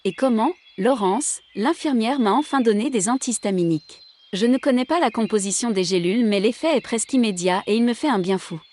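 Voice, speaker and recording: A woman's voice: fairly high pitch, a little fast, a little mellow, slight background noise, natural voice. A woman playing an observant long-time captive and confidante in an audio drama. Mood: cheerful, curious.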